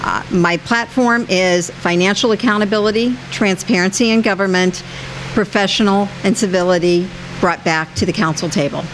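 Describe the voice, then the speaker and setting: A middle-aged American woman gives a prepared speech as a council candidate. Her voice sits at a medium pitch. There is faint noise in the background. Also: moderate pace, low-quality recording.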